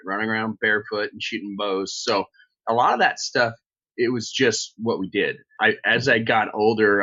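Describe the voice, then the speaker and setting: American male interview guest, lowish bright voice with some edge, casual storytelling, dull lo-fi recording. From southeastern Idaho, United States. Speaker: podcast guest, survival skills instructor.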